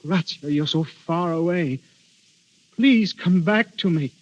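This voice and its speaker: Casting: a fretful young lover, higher register, pleading theatrical radio-play style, dull vintage audio. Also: male voice, slow pace. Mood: anxious, pleading. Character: anxious, lovesick young suitor. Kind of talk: 1930s radio drama acting. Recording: muffled vintage 1930s radio recording.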